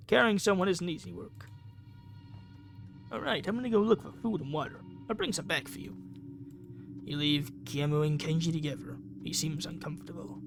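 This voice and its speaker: A man's voice: sits high, vocal fry. A man reading a visual novel game aloud as dramatic narration, acting out lines and thoughts. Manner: acts out characters' lines and thoughts.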